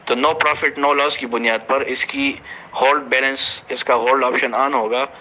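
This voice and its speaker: Man, bright forceful voice, halting explanatory team announcement, English business terms mixed in, slightly noisy voice-message recording. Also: medium pitch, fairly even intonation. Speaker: company director addressing team members. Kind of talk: informal spoken announcement to a team.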